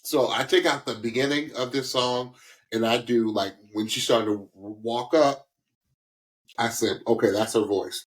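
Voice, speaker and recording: A man co-hosting a music podcast, giving casual, opinionated commentary on singers in a halting way. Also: mid-range voice, steady tone, rough recording. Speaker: podcast co-host, singing critic.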